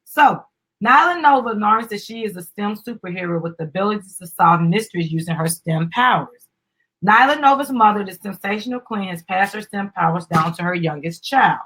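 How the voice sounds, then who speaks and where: A middle-aged woman with a husky voice, reading a children's book aloud in an animated, encouraging way with explanatory asides. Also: mid-range voice, brisk, rough recording. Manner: encouraging, instructive, addressing children and parents.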